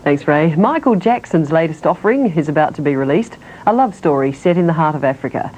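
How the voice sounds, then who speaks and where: A woman with an Australian accent, reading the late news as anchor in a measured, formal way. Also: deep voice, lively intonation, a little mellow, low-quality recording. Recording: faint noise, early-1990s TV broadcast.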